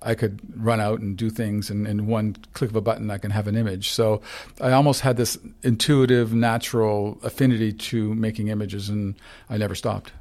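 A man's voice: on the low side, little pitch movement, normal speed, a little mellow. A Canadian man in his sixties, a photographer answering interview questions in an earnest, rambling way with frequent restarts. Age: 60s. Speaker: photographer guest.

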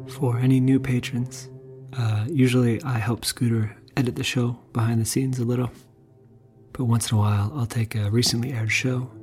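A man gently introducing a bedtime podcast episode in an unhurried way, speaking directly to listeners. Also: mid-range voice. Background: music.

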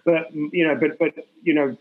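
A man's voice: on the high side, steady tone, moderate pace, phone-quality sound. A man from England in his forties, a guest artist talking candidly in an interview, rambling and self-deprecating with many restarts.

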